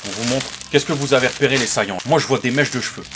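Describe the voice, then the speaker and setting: Male co-host, playful crude joker, middle pitch, fast. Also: noisy background. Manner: goofy, crude jokes, playful wordplay. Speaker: YouTube co-host. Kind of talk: casual unboxing banter.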